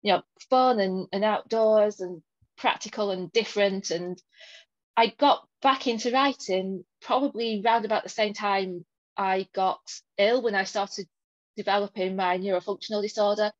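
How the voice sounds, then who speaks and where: A woman's voice: medium pitch, steady tone, normal speed, no room sound, lo-fi sound. Articulate woman with a mild Lancashire accent. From Lancashire, England. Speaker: author, guest. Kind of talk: interview answers.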